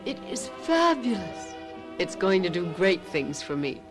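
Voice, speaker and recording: An actress playing a vain, excitable middle-aged society lady and mother, genteel and gushing, in an old-time radio drama. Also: on the low side, measured pace, music in the background.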